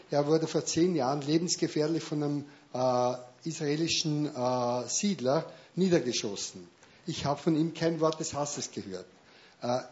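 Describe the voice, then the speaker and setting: A man's voice: fairly high pitch, darkish tone, dull audio. A man in the audience making an earnest comment, repeating phrases for emphasis with many fillers. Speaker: audience member.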